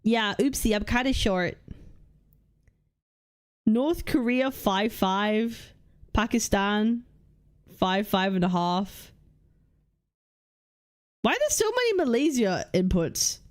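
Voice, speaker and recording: A young woman hosting a casual livestream, reading chat and lists aloud, blunt and swearing freely as she thinks out loud. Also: medium pitch, big pitch swings, slow, pauses often. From United Kingdom. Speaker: livestream host.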